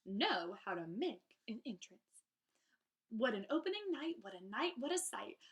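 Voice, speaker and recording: A woman's voice: a little high, sing-song, normal speed. A woman reading rhyming children's verse aloud in a theatrical, emphatic teacher's manner, drawing out key phrases.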